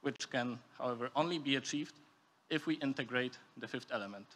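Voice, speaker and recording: Young guy, bright clear-cut voice, formal prepared speech, stresses his signposts. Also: mid-range voice, fairly quick. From Poland. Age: young adult. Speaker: student speaker at a youth forum.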